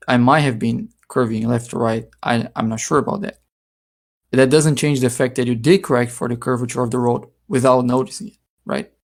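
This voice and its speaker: Man, gravelly voice, methodical debater in voice chat. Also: medium pitch. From Brazil. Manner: argumentative, explains step by step. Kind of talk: heated online voice-chat debate.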